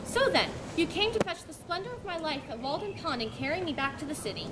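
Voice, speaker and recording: A woman's voice: high voice, animated, fast. A woman playing a lofty male hermit philosopher in a homeschool dramatic reading, solemn and dismissive. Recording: loud background noise.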